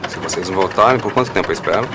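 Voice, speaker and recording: A man's voice: low voice, racing, natural voice. An actor playing a wary, practical boatman with a Brazilian accent in an audio drama. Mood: puzzled. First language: Portuguese. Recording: boat engine noise behind.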